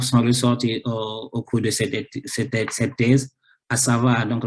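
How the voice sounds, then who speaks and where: A man's voice: medium pitch, darkish tone. A man with a cold giving an academic thesis talk, hesitant, with many fillers and repeated words. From Senegal. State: has a cold. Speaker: researcher presenting his thesis.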